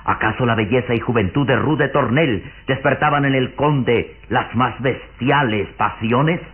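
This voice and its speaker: A man's voice: mid-range voice, unhurried, slight background noise, natural voice. A man narrating a gothic radio serial in an ornate, solemn, suspenseful vintage style. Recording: phone-like vintage radio recording, music behind.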